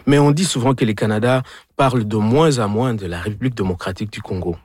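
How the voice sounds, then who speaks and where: Male interviewer with a rough-edged, husky tone and dry sound, delivering formal, carefully worded questions. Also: fairly low pitch, fast talker, darkish tone, no room sound.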